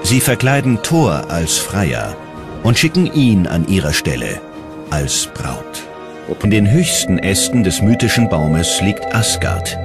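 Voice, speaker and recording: Deep-voiced male documentary narrator. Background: music.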